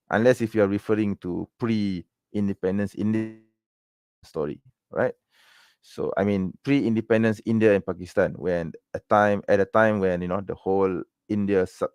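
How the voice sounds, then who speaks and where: A man's voice: low-pitched, a little mellow, dry recording, clean studio sound. A man from Malaysia, raised in Penang, hosting a casual livestream Q&A, rambling with lots of fillers and "okay?" and "right?" tags. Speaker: livestream Q&A host. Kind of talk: casual livestream chat answering viewer questions.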